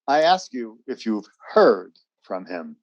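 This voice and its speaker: A skeptical, officious military major in an old-time radio drama. He is formal and insistent, with a very lively pitch. The recording is dry. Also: takes it slowly, no room sound, highs cut off, heavily acted.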